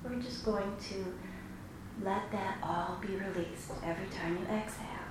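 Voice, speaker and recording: A woman guiding a meditation in a slow, soothing, instructive way with long pauses. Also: medium pitch, steady tone. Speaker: meditation guide. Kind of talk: guided meditation. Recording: very echoey room, clearly noisy background.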